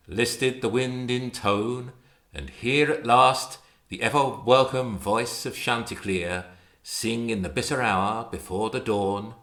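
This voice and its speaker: A man reading poetry aloud in a measured, expressive, declamatory manner. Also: lowish voice. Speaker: poetry reader. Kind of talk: introduced dramatic poetry recitation.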